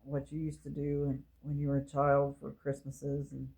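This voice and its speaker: A woman, who reminisces casually and gently, meandering along as she talks to her viewers. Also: monotone, gravelly, low-quality recording. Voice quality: low voice. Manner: slow, meandering and gentle, with fillers. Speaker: channel host.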